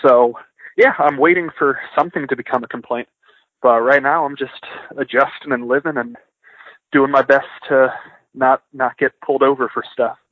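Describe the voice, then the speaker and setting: A man's voice: medium pitch, fairly even intonation, moderate pace, no echo. A young man from outside Atlanta with a mixed Northern and Southern US accent, answering interview questions as a sports writer with wry, self-deprecating asides. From outside Atlanta, Georgia. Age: young adult. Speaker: sports beat writer, guest. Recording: tinny phone line.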